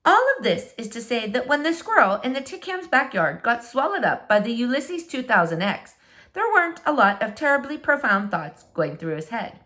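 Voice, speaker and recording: A woman narrating a children's storybook aloud with expressive, dramatic, deliberate phrasing. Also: on the high side. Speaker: storybook reader.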